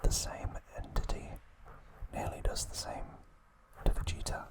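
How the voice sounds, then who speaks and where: A man narrating in a soft, unvoiced ASMR whisper, recapping a story with frequent hesitant pauses. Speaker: ASMR channel host.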